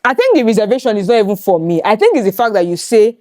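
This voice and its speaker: A Nigerian woman leads a relaxed conversation among friends. She talks in an animated way at a middling pitch, with an accent from home. Her voice sounds close and dry, with no room sound. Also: fast, no echo. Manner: lively, animated, switching into Yoruba phrases. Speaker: host. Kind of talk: casual chat with friends.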